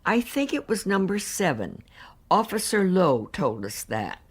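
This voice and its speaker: Casting: composed woman survivor testifying in a docudrama, lowish voice, animated intonation, formal and precise. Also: husky, exaggerated voice. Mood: composed, earnest. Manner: measured, formal, precise recounting. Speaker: actor reading witness testimony. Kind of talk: dramatised reading of hearing testimony.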